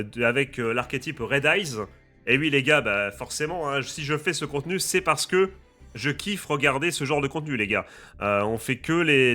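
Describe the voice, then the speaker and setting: A man with a slight head cold, casually explaining a game challenge's rules to his viewers in a lively, chatty way, with faint music playing behind him. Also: mid-range voice. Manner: lively, addresses viewers as friends and guys. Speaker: gaming YouTuber and streamer.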